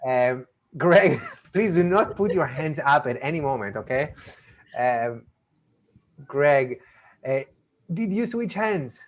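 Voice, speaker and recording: A man's voice: a little high, animated, slow, long pauses. A man who grew up in Argentina and Israel, with an accent from both, performing a magic show over a video call, chatty and playful with frequent restarts. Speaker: magician and mentalist. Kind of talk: live online magic show patter.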